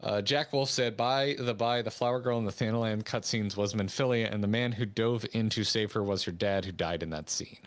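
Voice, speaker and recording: A man's voice: fairly low pitch, fairly quick, few pauses. A man giving casual, joking reaction commentary as a streamer watching game cutscenes. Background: game cutscene music.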